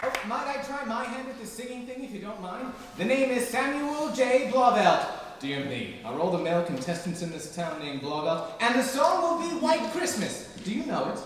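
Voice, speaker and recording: Guy acting a pompous eager crooner nephew, high swoopy voice, radio comedy play in an echoey hall. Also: sing-song, normal speed. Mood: eager, self-important. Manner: pompous, formal, mannered speech. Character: Samuel, prim, eager amateur crooner and doting nephew. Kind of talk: live old-time radio comedy acting. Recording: echoey hall, distant mic, faint noise.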